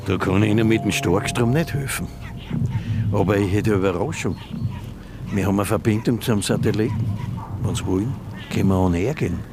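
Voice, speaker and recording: An actor playing a put-upon, practical young technician in a radio drama. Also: male voice, lowish voice, brisk, long pauses, natural voice. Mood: defensive, practical. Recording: noisy outdoor ambience.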